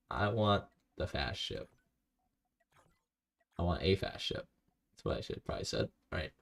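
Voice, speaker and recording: Deep slow guy streaming a game, kinda gravelly, rambly low-key self-talk. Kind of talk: casual live-stream commentary while playing.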